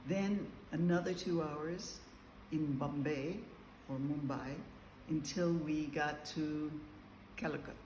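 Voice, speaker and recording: A man telling a casual travel diary to camera, meandering and chatty with frequent ums and pauses. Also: a little high, steady tone, darkish tone, slight background noise. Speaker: travel vlogger. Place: large room with high ceilings, indoors. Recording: echoey room, faint background ambience.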